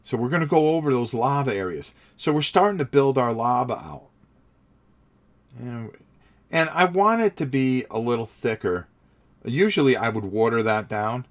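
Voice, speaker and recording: A middle-aged man with a cold, chatting to his livestream viewers as he paints, rambling and repeating words. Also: lowish voice. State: sniffly from a cold. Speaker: hobby livestream host. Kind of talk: casual livestream narration while painting.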